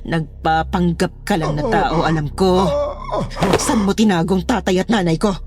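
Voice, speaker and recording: A woman's voice: fairly low pitch, fairly even intonation, moderate pace, noisy background, close to an everyday voice. A woman playing an unhinged, menacing daughter in a horror audio drama, theatrically swinging between eerie sweetness and rage. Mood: taunting, menacing. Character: unhinged, menacing adult daughter taunting her father.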